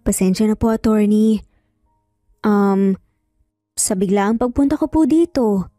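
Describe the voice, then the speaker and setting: A woman voicing a worried female client who speaks calmly, hesitantly and with deference in a dramatic story. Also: mid-range voice, a little slow, dry recording, studio quality, faint music behind, close to an everyday voice. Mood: apologetic. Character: worried woman client, polite, calm and doubtful.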